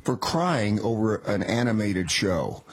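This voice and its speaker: A man's voice: on the low side, big pitch swings, unhurried, husky. An American man hosting a radio interview in a casual, chatty, admiring and rambling way.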